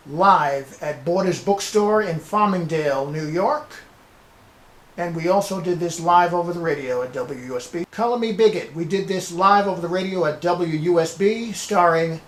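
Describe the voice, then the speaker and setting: Higher-voiced man in an echoey room. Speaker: playwright presenting his scripts. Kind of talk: semi-scripted video presentation. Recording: roomy echo with background hiss.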